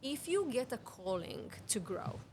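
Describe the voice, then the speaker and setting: A woman's voice: a little high, big pitch swings, a little slow, a little mellow, slight background noise. A woman in her thirties from Serbia, a non-native English speaker with a Serbian accent, talking earnestly as a podcast guest and explaining health ideas through strings of questions. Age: 30s. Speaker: guest, women's health mentor. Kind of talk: conversational podcast interview. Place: cafe.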